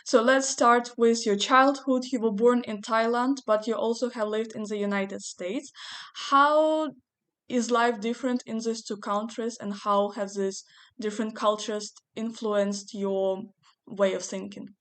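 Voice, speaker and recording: Polite smooth-voiced woman interviewer. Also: a little high, steady tone, unhurried. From Ukraine. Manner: polite, careful, asks prepared questions. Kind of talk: interview hosting over video call. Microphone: video call.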